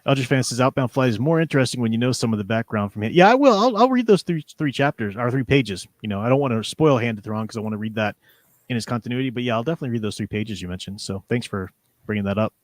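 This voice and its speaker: A man's voice: mid-range voice, expressive, fast talker, no room sound, studio quality. A man hosting a casual livestream, chatting informally with his co-host and addressing viewers directly.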